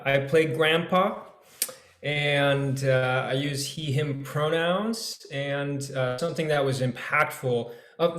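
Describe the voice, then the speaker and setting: A man speaking casually on a panel in a halting, thoughtful manner, as an actor introducing himself. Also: mid-range voice. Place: indoors on a video call. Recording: echoey room sound.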